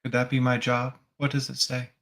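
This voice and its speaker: A man leading a guided spiritual meditation as a teacher, gently prompting the listener with reflective questions. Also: mid-range voice, completely flat delivery, brisk.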